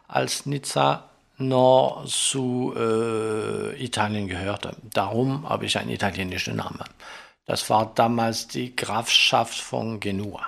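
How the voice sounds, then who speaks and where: French-accented man with a creaky voice. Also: mid-range voice, fairly bright tone. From Marseille, southern France. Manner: searches for words, often adds questioning tags. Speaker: orchestral horn player, podcast guest. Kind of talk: casual interview conversation.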